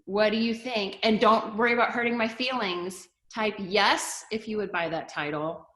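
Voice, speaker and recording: A woman's voice: mid-range voice, fairly even intonation, normal speed, a bit bright. Chatty woman pastor in a roomy space. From Canada-born, raised in the US. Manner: chatty, asks the audience to type answers. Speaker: pastor and TV host. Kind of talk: casual talk to an online audience. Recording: roomy echo.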